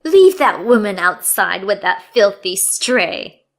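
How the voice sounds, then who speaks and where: Brief: a woman doing a sneering older mother-in-law, shrill and raised, slow pace, soft music under. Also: sing-song, full character voice. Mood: scornful.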